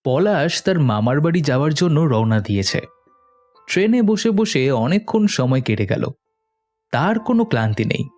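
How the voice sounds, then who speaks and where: A man's voice: fairly high pitch, sing-song, moderate pace, darkish tone. A man narrating a scripted horror cartoon story in a dramatic storytelling style. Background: faint music.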